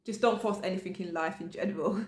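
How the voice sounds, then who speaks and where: A woman's voice: medium pitch, fairly quick, darkish tone, echoey room. A woman from the UK giving casual advice to camera in a chatty manner, with frequent fillers and restarts. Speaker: vlogger.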